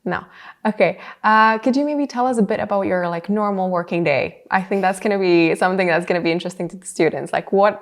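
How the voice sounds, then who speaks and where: A woman's voice: mid-range voice, fast. A woman hosting a podcast, asking open questions and giving lots of "mm-hmm" backchannels. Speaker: podcast host. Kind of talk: casual podcast interview.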